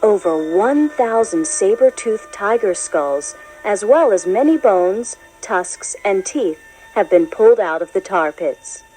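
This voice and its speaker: A woman reading a children's science book as scripted narration, in a clear, expressive storytelling manner. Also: medium pitch, slow pace, soft background music, rough recording. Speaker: narrator of a children's educational read-along.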